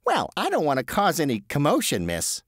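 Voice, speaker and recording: A man's voice: a little high, huge pitch swings, a little fast, fairly bright tone, no echo, clean studio sound, voice pushed up, exaggerated voice. A man voicing a nervous, evasive man who fumbles and is overly polite while bluffing. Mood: flustered.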